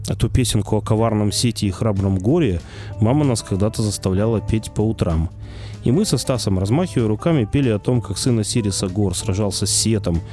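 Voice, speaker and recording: Low mellow guy reading a story, kinda wry, faint music behind. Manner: wry, storytelling first-person narration. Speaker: narrator. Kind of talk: audiobook narration.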